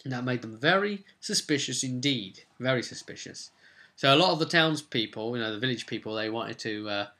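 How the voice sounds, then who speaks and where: Man, British accent, bright forceful voice, medium pitch, chatty game master, casual storytelling, digressive, wry asides. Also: lively intonation, brisk. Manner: chatty, digressive, with wry joking asides and loosely acted-out quotes. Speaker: game master recapping a tabletop role-playing session. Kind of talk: casual, rambling storytelling recap to camera.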